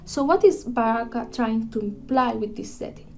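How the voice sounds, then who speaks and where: A woman's voice: a little high, moderate pace. A young woman, a student giving a prepared book review in an earnest, careful way with a few restarts. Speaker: student presenter. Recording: dull lo-fi voice with music behind. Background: guitar music.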